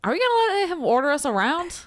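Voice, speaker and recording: Lively woman with quick wry quips. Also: sits high. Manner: short wry interjections, laughs often. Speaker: gameplay co-host. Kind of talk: casual gameplay chat.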